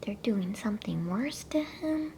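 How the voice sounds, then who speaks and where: Storybook young girl, played by a woman: tender, easily awed, higher-pitched, breathy hush, pleading. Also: expressive, unhurried, a little hiss or hum. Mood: distressed. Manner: breathy, pleading, mostly whispered exclamations. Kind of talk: whispered ASMR storybook reading.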